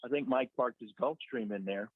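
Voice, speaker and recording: A man's voice: mid-range voice, brisk, slightly rough, dry recording. A man as a guest on a talk radio show, explaining things at length with a joking touch, calling from a side room. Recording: dry tinny phone-line audio.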